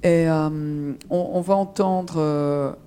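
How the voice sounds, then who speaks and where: A woman moderator introducing a guest politely, with many fillers and pauses. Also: low voice, steady tone, mellow, clean tone.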